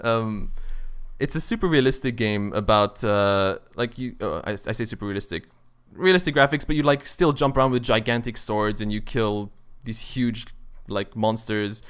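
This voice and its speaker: A man's voice: lowish voice, normal speed, fairly bright tone, clean tone. A man chatting casually and enthusiastically on a gaming podcast as its host, swearing freely.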